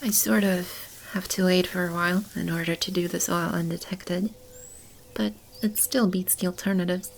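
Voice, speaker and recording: A woman plays a nurturing female keeper of a magical animal shelter in a soothing ASMR roleplay. Her voice is slightly low and crackles heavily with fry as she talks softly to a child. There is faint background noise behind her. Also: fairly even intonation, normal speed. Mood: calm, wistful. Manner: soft, soothing, chuckling, speaking to a child.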